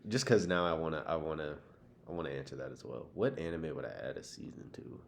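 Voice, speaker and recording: A man's voice: a bass voice, animated, fast, gravelly, slight background noise. A man co-hosting a podcast, talking casually and matter-of-factly in slangy, sometimes profane language. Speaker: podcast co-host. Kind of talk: casual banter, would-you-rather questions.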